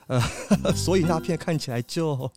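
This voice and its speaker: A man's voice: fairly high pitch, moderate pace, over music, close to an everyday voice. A man playing a fawning, nervous capybara butler in a children's mystery audio drama. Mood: nervous, evasive. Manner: obsequious, formal, stammering when evasive.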